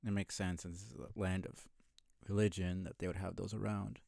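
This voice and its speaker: A man with a low voice and a gravelly, creaky edge streams a video game. He thinks aloud in a casual, rambling way, musing over things as he goes. The sound is close and dry. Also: level tone, normal speed, a little mellow, no echo. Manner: musing, rambling, mild swearing. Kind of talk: casual live gameplay commentary, thinking aloud.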